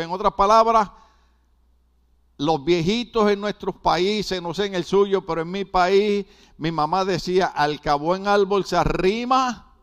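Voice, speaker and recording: A man's voice: sits high, smooth. An older man from Puerto Rico with a Puerto Rican Spanish accent, preaching a sermon and asking his congregation questions. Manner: builds to loud, emphatic climaxes. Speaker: pastor.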